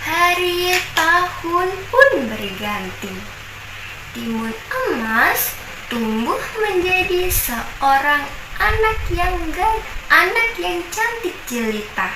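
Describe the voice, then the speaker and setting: A young woman telling a folk tale at an unhurried, sing-song pace, her high voice rising and falling with expression. She sounds set back from the mic in an echoey room, with steady noise behind her. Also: recorded from a distance. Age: young adult. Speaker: university student.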